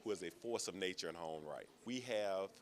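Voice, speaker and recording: A university system official speaking earnestly to camera, with lofty, inspirational phrasing. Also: male voice, low-pitched, a little fast. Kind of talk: interview statement to camera.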